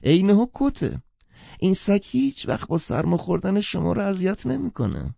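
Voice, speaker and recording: Very creaky old street peddler. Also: male voice, high-pitched, very animated, dark tone, no room sound, muffled recording, pitched above the performer's own voice, full character voice. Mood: salesman's wheedling. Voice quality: creaky, cracked voice.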